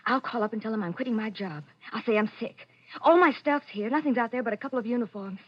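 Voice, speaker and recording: An actress as a fugitive's devoted sweetheart in vintage radio melodrama, urgent and sharp-tongued. Her voice is high and breathy, caught on a muffled old recording. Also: fast talker, lightly acted. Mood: loving, excited. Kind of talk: 1940s melodramatic radio acting.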